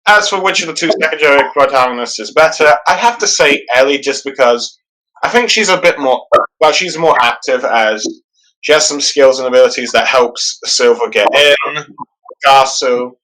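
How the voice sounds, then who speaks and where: A man hosting a podcast, talking through a story in a halting way and often pausing on "let's see". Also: medium pitch, pushed and bright, poor audio. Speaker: podcast host. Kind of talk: casual podcast discussion.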